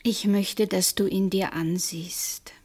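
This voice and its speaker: An actress playing a nervous, lovestruck middle-aged widow in a radio drama, with a breathy, husky voice. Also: female voice, mid-range voice, fairly even intonation, slow pace, darkish tone. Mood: hesitant. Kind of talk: naturalistic radio drama acting.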